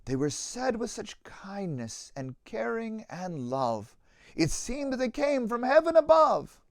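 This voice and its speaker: A man, a pastor, reading a children's storybook aloud in an expressive, theatrical way, with a rhythmic delivery of verse. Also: sits high, slow pace, dry recording, clean studio sound.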